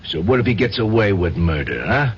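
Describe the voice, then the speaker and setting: A man's voice: fairly low pitch, very animated, fairly quick. An actor playing a tough, breezy, streetwise crook who is loyal to his pal, with slangy, wisecracking talk in an old radio drama. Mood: evasive, offhand. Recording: muffled, hissy old radio drama recording.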